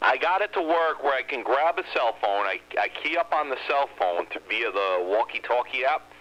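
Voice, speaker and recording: A man's voice: medium pitch, normal speed. A man hosting a CB radio club check-in, chatting casually with a wry, joking manner about radio gear. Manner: wry, joking, technical tinkerer. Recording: lo-fi with steady hiss.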